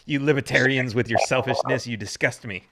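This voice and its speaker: A man's voice: medium pitch, brisk, noisy background. A man in his thirties hosting a casual interview over a video call from home, joking and teasing his guest. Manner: joking, teasing, laughs often. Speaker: podcast host. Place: home room. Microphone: video call with desk mic.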